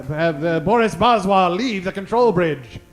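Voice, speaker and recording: A man's voice: high-pitched, expressive, a little slow, faint music behind. A man narrating an old-time radio comedy in an arch, dramatic storytelling manner.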